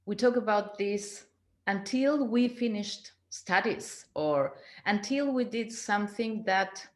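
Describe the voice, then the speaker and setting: Slow-talking woman in her fifties. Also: medium pitch. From Argentina. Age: 50s. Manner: slow, searching, repeats words. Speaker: guest, leadership coach. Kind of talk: interview answers. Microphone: video call.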